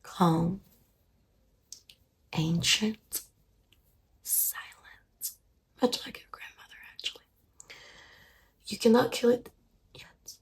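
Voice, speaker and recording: A soft-spoken female therapist, played by a woman in an ASMR meditation parody. She speaks very slowly and soothingly, often in a breathy whisper with a creaky edge. Her delivery stays calm and deadpan. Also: mid-range voice. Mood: calm, hypnotic. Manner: slow, soothing, hushed, deadpan. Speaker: ASMR performer.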